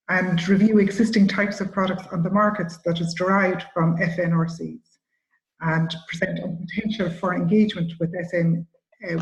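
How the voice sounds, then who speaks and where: Woman moderating a webinar in a roomy space. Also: on the low side. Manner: brisk, handing over between speakers. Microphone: video call. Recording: roomy, dull lo-fi call audio.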